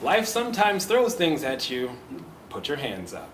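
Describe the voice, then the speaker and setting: A man giving a personal storytelling speech at a club meeting, lively and laughing often, then leading questions with a teasing tone. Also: on the high side, measured pace, over a noisy crowd. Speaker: club speaker and table topics master. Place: echoey meeting room. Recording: echoey room with background noise.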